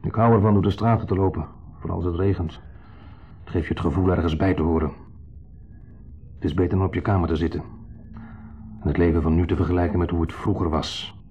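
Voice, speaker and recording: A man's voice: sits low, steady tone, fast, long pauses, lightly acted. An actor playing a lonely, needy man in a radio drama, insistently friendly and confessional, swinging between eager and downcast. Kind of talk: 1970s radio drama acting. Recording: dull 1970s radio recording.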